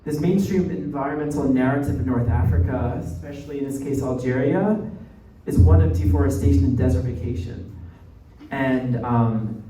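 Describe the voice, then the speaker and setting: A man's voice: mid-range voice, moderate pace, warm tone. Muffled, echoey young American man lecturing. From New Jersey, United States. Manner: conversational, often tags points with "right?". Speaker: guest lecturer, graduate student. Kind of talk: informal academic presentation. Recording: muffled, echoey room, distant mic, faint noise.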